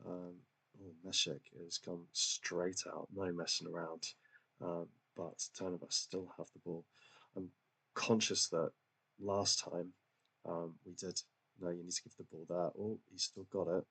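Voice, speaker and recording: A man's voice: sits low, gravelly, bright, forceful tone, breathy edge. A man commentating casually on a football management game he is playing, talking in a chatty, hesitant way with lots of ums and self-deprecating asides. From England. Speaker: gaming channel host.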